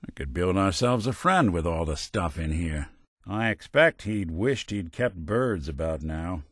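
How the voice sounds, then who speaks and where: A low voiced weary robot gumshoe for a game, dry wisecracks, tone moves around a lot, super dry recording. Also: male voice, husky, dry recording, heavily acted. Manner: wry, dry, sardonic, old-time tough-guy phrasing. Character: world-weary wisecracking male synth robot detective, middle-aged. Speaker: video game voice actor. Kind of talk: scripted game companion dialogue, noir detective.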